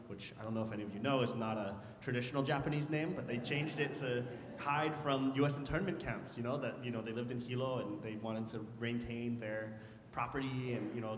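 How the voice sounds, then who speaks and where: A man speaking as a panelist, casual and joking, often repeating words, in a hall. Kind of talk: panel talk. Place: hall, a former church. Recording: echoey hall with faint rumble.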